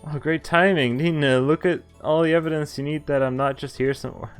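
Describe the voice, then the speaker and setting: A man's voice: a little high, fairly even intonation, a little fast, smooth, faint music behind, voice pushed up, close to an everyday voice. A man voicing a cocky, indignant young male hero from a visual novel in a dramatic, emphatic way. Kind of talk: visual novel dialogue read aloud.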